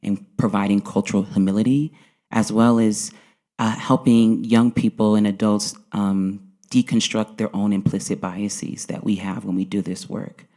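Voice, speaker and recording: A man's voice: on the low side, level tone, normal speed, mellow. A man speaking earnestly as a panelist at a conference, often checking in with "right?". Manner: earnest, frequent "right?" tags. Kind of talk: panel discussion. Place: conference session.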